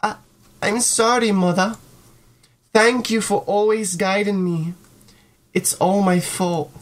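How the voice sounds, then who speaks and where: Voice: medium pitch, fairly even intonation, slow pace, lightly acted. A performer voicing a timid, submissive young girl who apologizes, in a visual novel. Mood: meek, apologetic.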